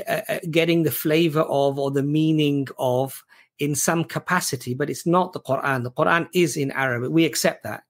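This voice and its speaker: A mid-pitched man hosting a live call-in stream. He talks conversationally and affirms his callers often. He repeats himself as he steers the conversation. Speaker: host.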